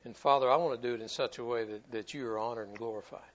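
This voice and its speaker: A man's voice: mid-range voice, steady tone, rapid-fire, highs cut off. A man preaching a sermon to his church in a folksy, repetitive way, often stumbling over his words. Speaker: pastor.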